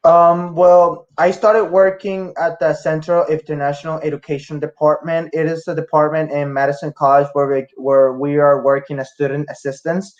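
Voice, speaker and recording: A man's voice: a little high, level tone, moderate pace, few pauses, muffled recording. A young man from Rica, a student answering interview questions with frequent fillers and restarts. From Costa Rica. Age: young adult. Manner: hesitant, many fillers and restarts. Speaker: student guest.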